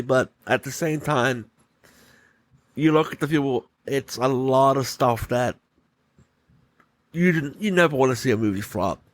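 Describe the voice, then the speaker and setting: Casual male podcast host, halting delivery. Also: mid-range voice, no room sound. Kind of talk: casual solo commentary.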